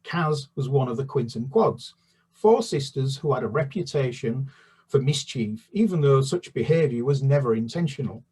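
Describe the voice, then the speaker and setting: A British man with a husky voice in an ordinary middle register talks about his children's books, stopping and restarting often. He then reads a chapter aloud in steady storybook narration over a video call. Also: medium pitch, normal speed. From United Kingdom. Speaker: children's author. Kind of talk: book talk and read-aloud.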